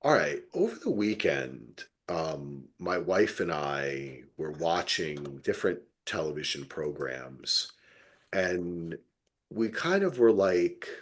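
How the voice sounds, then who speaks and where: Male podcast co-host, middle-aged, very animated delivery, emphatic and playful in casual review chat. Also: low-pitched. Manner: emphatic, playfully exaggerated storytelling.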